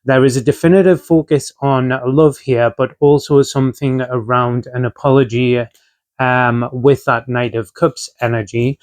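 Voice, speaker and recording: A young man with a medium-pitched voice, still rough and slightly off from a chest infection, talks through a tarot reading. He speaks in a relaxed, chatty way, drifting through ums and uhs as he lays out each card. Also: a little slow. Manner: relaxed and conversational, frequent filler ums and uhs. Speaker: tarot reader. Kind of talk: casual tarot reading to camera.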